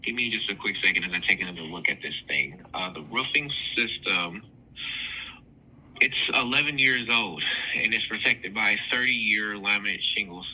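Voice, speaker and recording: Low, sharp-voiced man with husky edge. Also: normal speed, low-quality recording. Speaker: insurance claims adjuster. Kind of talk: formal phone explanation. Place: phone call.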